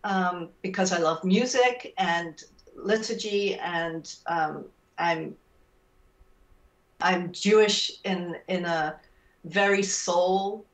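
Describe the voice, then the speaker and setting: An English woman in her sixties talks over a video call from a home room lined with bookshelves. Her voice sits a touch low and comes across measured and thoughtful, with the odd um. The room is echoey and she sounds a little distant from the mic. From England. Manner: thoughtful, measured, with frequent um fillers. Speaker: guest author. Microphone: video call webcam.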